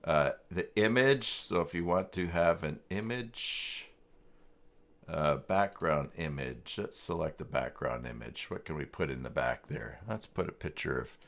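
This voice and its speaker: Very deep, gravelly-voiced man thinking aloud. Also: measured pace, fairly bright tone. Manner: hesitant, muttering to himself while searching. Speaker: live stream host demonstrating website software. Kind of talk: casual screen-share tutorial, thinking aloud.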